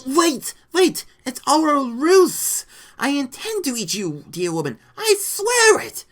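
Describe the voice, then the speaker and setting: A woman acts out a big, ugly lizard man from a storybook. He is pompous and melodramatic, his pitch thrown high and swinging wildly as he loudly protests and pleads. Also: huge pitch swings, heavily acted. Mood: panicked, pleading. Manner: grandiose, melodramatic, drawn-out exclamations.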